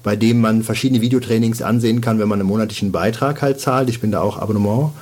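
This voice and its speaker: Male podcast host, lowish voice, hesitant, full of ums. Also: steady tone, fast, darkish tone, slightly rough. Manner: hesitant, many ums and restarts. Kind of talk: casual interview talk.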